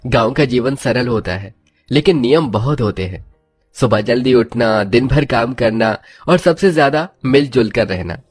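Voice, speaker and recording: A man playing a sensible young village man in a story, earnest and plain-spoken with a husky voice. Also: mid-range voice, expressive, normal speed, faint music behind.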